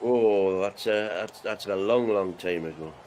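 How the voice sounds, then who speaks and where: A man's voice: low voice, measured pace, dark tone, slight background noise. A middle-aged man, co-hosting casual match chat with dry, joking asides. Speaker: co-host.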